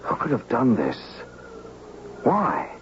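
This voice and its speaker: An actor playing an earnest, adventurous young oil heir with intense, expressive 1970s radio drama delivery. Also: male voice, medium pitch, slow pace, a little hiss or hum. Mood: alarmed. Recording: dull 1970s radio recording with music.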